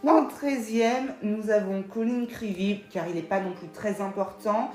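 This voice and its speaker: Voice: medium pitch, unhurried, darkish tone, soft background music. Matter-of-fact co-host, echoey room. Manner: matter-of-fact, reads out rankings. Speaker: co-host of a ranking video. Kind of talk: casual scripted chat.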